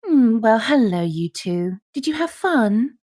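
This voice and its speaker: Lady as a kind bunny mom, mellow n really slow talking, genteel, audio drama. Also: on the high side, very animated. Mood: welcoming. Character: gentle, genteel rabbit mother.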